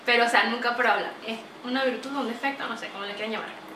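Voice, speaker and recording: A young woman from Venezuela with a Venezuelan accent, chatting to camera in a lively, talkative way, recorded in a small bright room. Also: on the high side, very fast, recorded from a distance, slight background noise. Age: young adult. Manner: chatty, animated, gestures a lot. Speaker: vlogger. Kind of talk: casual chat to camera. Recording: roomy echo, voice a bit off-mic.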